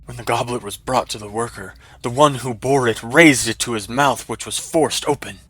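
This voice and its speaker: A man's voice: mid-range voice, moderate pace, a bit bright. A man narrating a scripted fantasy story in a dramatic style.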